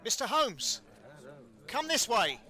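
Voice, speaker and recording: Audio drama policeman: a brisk inspector, high forceful voice, businesslike. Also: huge pitch swings, full character voice. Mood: brisk, commanding. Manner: brisk, commanding. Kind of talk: audio play acting.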